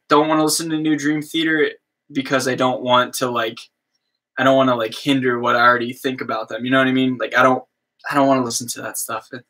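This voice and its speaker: A young man from the US East Coast with a slow drawl, chatting casually with viewers on his livestream and padding his talk with fillers. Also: medium pitch, pushed and bright. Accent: American East Coast. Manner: slow drawl, lots of fillers like "you know what I mean". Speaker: livestream host, musician.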